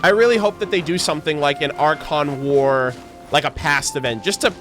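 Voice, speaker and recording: Bright, higher-voiced male game streamer. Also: a little fast, soft background music. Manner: enthusiastic, slangy, rambling. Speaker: gaming streamer. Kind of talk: casual game commentary.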